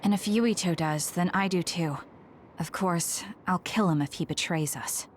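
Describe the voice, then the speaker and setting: A woman's voice: fairly low pitch, a little slow, slight background noise, natural voice. A woman voicing a composed, coolly loyal young female fighter in an English video game dub, speaking directly. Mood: calm, firm.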